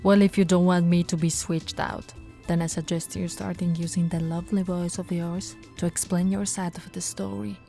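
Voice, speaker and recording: A woman voicing a flirty, no-nonsense female police officer in an ASMR roleplay, switching between firm orders and soft, teasing coaxing. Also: lowish voice, flat delivery, moderate pace, creaky, soft background music. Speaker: voice actress.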